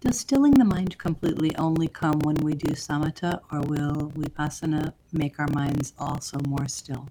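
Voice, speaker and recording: A woman's voice: deep voice, moderate pace, hardly any pauses, heavy background noise. A woman reading listener questions aloud in a plain, matter-of-fact way during a livestream.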